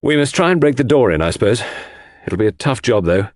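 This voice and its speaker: Man as a brisk, decisive country gentleman. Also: medium pitch, animated, no room sound, studio quality, lightly acted. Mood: urgent, practical. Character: hearty, decisive country gentleman taking charge.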